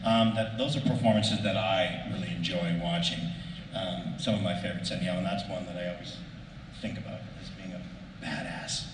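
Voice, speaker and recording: A middle-aged man talks casually as a panelist, pausing and restarting as he looks for words. He is heard from a distance in a big reverberant hall with faint noise underneath. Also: low voice, steady tone. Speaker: voice actor panelist. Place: large convention panel room.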